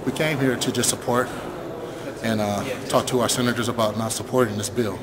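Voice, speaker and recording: A man who directs multicultural affairs at a college, explaining in a steady matter-of-fact way why he came. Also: medium pitch, a little fast. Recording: rally with crowd behind.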